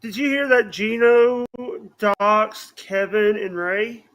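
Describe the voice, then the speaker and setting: High-voiced, hesitant man. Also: slow, fairly bright tone, low-quality recording. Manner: hesitant, apologetic, repeats phrases. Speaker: guest on a live podcast. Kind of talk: casual chat.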